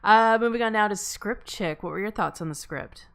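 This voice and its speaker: A woman's voice: mid-range voice, normal speed, gravelly, fairly bright tone, clean tone. A woman casually moderating a discussion as host, in an encouraging way. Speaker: table read host.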